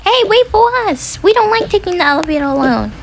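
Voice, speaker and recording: A woman voicing a whiny, excitable little kid who likes to squabble in a family role play. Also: very high voice, a little fast, vocal fry, noisy background, pitched above the performer's own voice, full character voice. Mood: anxious. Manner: whiny, pleading, bickering.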